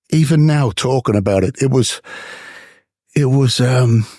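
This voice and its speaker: Emphatic Irish ex-boxer, dry close mic. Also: male voice, medium pitch, takes it slowly, warm tone, no echo. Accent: Dublin Irish with English traces. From Ireland. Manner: emphatic, repetitive storytelling. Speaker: guest, former boxer. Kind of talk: interview.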